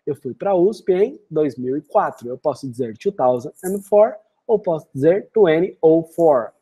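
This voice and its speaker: A Brazilian-accented man teaches English phrases in a screen-recorded lesson. His voice is dark and mellow with a breathy, husky edge. He speaks the example sentences slowly and carefully before translating them. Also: a little high, lively intonation. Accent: Brazilian Portuguese. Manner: slow, deliberate model phrases alternating with translations.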